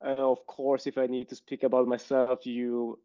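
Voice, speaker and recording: Italian-accented man, soft dark tone. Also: mid-range voice, monotone, no echo. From Italy. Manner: thoughtful, hesitant with frequent "uh" pauses. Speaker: guest. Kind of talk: casual interview answers. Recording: slightly dull lo-fi sound.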